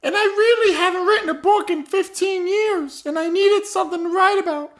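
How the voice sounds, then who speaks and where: A man's voice: extremely high pitch, brisk, a bit bright. A man voicing a forgetful elderly grandmother in an improvised comic radio mystery, rambling, defensive and melodramatic. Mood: rambling, flustered. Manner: rambling, self-justifying, melodramatic.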